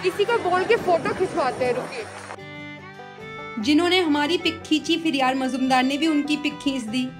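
A woman's voice: high voice, normal speed. A middle-aged woman with an Indian accent chatting enthusiastically as a travel vlogger, switching between two languages. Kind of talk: casual vlog chat mixing Hindi and English. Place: outdoor city market street. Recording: music and bustling outdoor crowd behind.

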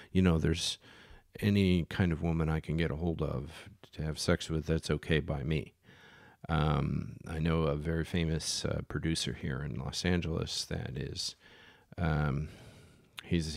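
A man's voice: deep voice, gravelly, a little mellow, dry recording, studio quality. A man telling casual stories about sailing charters, rambling with many fillers and restarts. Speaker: yacht charter captain. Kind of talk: casual storytelling. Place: Los Angeles.

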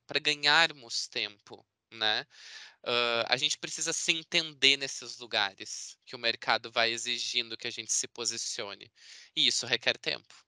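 Male Brazilian presenter, conversational and explanatory, very forward bright tone, clean and smooth. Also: mid-range voice, no room sound. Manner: chatty, explanatory, often seeking confirmation with tag words. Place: indoor room on a video call. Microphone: video call microphone.

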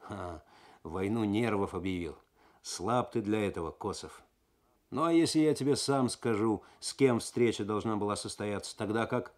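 A man's voice: lowish voice, normal speed, lightly acted. A man voicing a stern, wry police investigator with a dry, commanding manner in a radio story. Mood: wry, pressing. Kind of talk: Soviet radio story reading.

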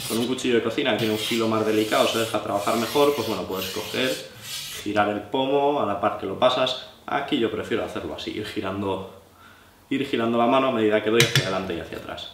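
A man with a La Mancha Spanish accent walks through a sharpening job in a practical, thinking-aloud way. His voice sits fairly low and comes across from a little distance in a roomy space. The rasp of steel on stone runs clearly under his words. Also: fast, noisy background. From Albacete, Spain. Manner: explanatory, practical, thinking aloud while working. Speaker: knife sharpening instructor. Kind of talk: hands-on tutorial demonstration. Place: indoor room at a table, working with a sharpening stone. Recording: roomy, distant sound with scraping of blade on stone.

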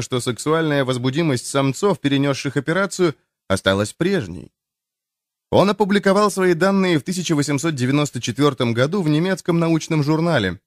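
A man's voice: medium pitch, no echo, studio quality. Male audiobook narrator for popular nonfiction; mid-range voice, very varied intonation, scripted delivery.

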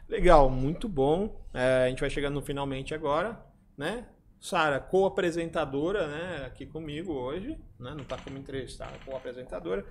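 A man's voice: fairly high pitch, moderate pace, a little hiss or hum. A man hosting a podcast in a studio, joking and steering the conversation. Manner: jokey, leads the talk. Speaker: podcast host.

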